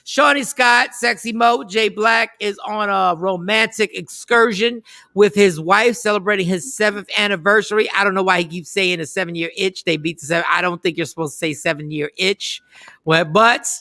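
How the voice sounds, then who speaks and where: A man's voice: high-pitched, normal speed, bright, forceful tone, dry recording, clean studio sound. A man hosting an internet radio show, chatting casually and rambling, now and then breaking into sound effects.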